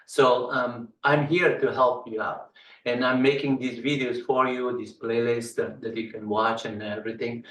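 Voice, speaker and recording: Middle-aged tutorial instructor from Afghanistan, native Persian speaker, halting delivery with fillers, flat tone, far-off echoey room. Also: male voice, medium pitch. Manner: halting, many ums and uhs. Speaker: computer science instructor. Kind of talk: screen-share tutorial walkthrough.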